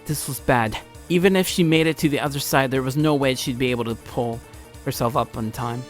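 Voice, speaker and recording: Casting: male let's play narrator, medium pitch, dramatic amateur read with stumbles, background music. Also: a little fast. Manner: theatrical, often stumbles over the text. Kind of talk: dramatic read-aloud narration of visual novel. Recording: music behind, low-quality home recording.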